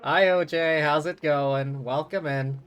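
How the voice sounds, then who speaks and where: A man's voice: a little high, fairly even intonation, a little slow, fairly bright tone, highs cut off. A man doing casual, chatty game commentary as a live streamer, easygoing in manner.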